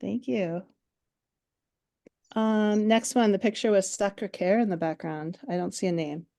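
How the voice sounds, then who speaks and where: A woman's voice: mid-range voice, fairly even intonation, a little slow, gravelly, mellow. A woman in her forties from Minnesota, a French teacher giving an online workshop presentation in a friendly, chatty way with many ums. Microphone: video call.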